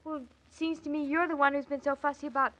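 A woman's voice: high-pitched, flat delivery, quick pace, a bit bright. An actress playing an anxious, fussy young newlywed mother with an earnest, hesitant manner, in 1940s radio drama style. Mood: worried. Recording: old 1940s radio recording.

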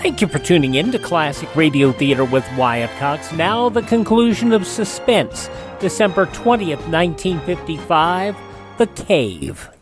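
A man's voice: on the high side, sing-song, measured pace, music in the background. A man hosting a classic radio programme, reading scripted continuity announcements. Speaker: radio show host.

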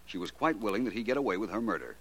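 An actor playing a shrewd, confident gentleman detective in a 1940s radio drama, articulate and assured. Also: fairly low pitch, lively intonation, rapid-fire, slightly rough, poor audio. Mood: explanatory, assured.

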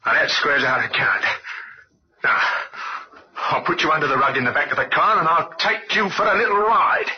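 A man acting in an old radio drama as a young crook, with halting, hesitant speech. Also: on the high side, close to an everyday voice. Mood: menacing, gloating. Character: young male crook, shy lover turned coldly menacing. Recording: muffled old radio recording, faint noise.

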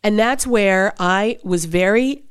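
An actress in an interview, telling animated anecdotes and laughing often as she talks. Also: medium pitch, takes it slowly, clear, resonant tone. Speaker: actress guest. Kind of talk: interview storytelling.

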